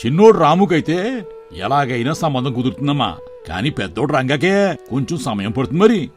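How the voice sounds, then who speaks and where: A man playing a greedy, smooth-talking middle-aged villager who schemes, speaking colloquial rural Telugu in a moral story. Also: medium pitch, big pitch swings, a little fast, soft background music, lightly acted. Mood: falsely reassuring. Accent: Telugu rural dialect.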